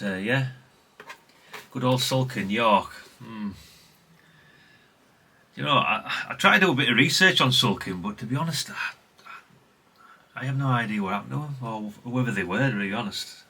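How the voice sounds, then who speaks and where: A man's voice: mid-range voice, normal speed, long pauses, pushed and bright, breathy edge. A middle-aged man from chats unscripted as a hobbyist vlogger, rambling and conversational with repeated catchphrases. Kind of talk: unscripted casual chat, showing an item.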